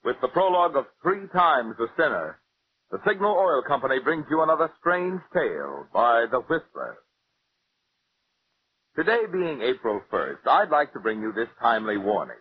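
A man's voice: medium pitch, big pitch swings, normal speed. A man announcing for a sponsor in 1940s radio style. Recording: tinny, hissy old radio recording.

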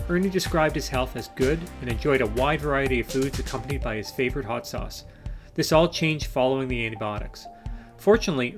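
A middle-aged man reading a scripted introduction as the host of a health podcast. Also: medium pitch. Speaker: podcast host, patient advocate.

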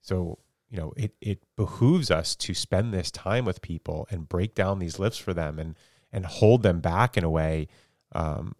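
A man hosts a casual podcast chat, his voice sitting on the low side. He asks probing, questioning follow-ups. The sound is dry and close. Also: a little mellow, no echo. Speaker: podcast host.

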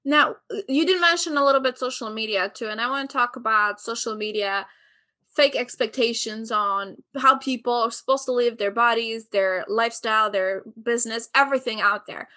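A woman's voice: on the high side, moderate pace, pushed and bright. A woman from Albania hosting an interview, earnestly asking long, rambling questions. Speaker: interview host. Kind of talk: conversational interview.

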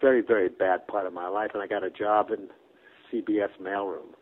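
A man in his nineties from Brooklyn, a guest telling long, rambling anecdotes that trail off softly, with restarts and chuckles. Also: on the low side, fairly even intonation, brisk. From Brooklyn, New York. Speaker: interview guest. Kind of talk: casual storytelling interview. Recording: phone-like narrow band.

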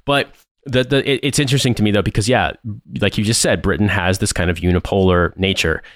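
A man's voice: on the low side, steady tone, fast talker, a bit bright, dry recording, studio quality. A man from the American Midwest with a general American accent, co-hosting a podcast in casual, rambling chat full of restarts. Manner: rambling, restarts often, says "like" a lot. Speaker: podcast co-host.

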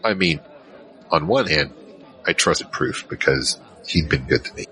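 A man's voice: rumbling low, unhurried, bright, forceful tone, a faint crowd, heavily acted. A man playing a curious, hesitant adventurer who recounts his story in a conversational first person for an audio drama. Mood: reflective, conversational. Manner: conversational, hesitant, searching for words. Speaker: narrator and lead character. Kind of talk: in-character first-person scripted narration.

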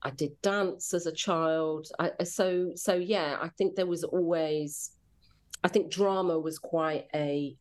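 A woman with a low voice speaks as an interview guest. She has a stammer and talks reflectively, haltingly, often repeating a phrase as she goes. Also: steady tone. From rural Devon, England. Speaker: podcast guest, drama commissioning editor. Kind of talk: reflective casual interview answers.

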